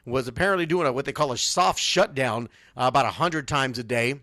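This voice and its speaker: A man's voice: medium pitch, brisk, bright, forceful tone, no room sound, clean studio sound. A man hosting a sports radio show, talking casually in a chatty, folksy way.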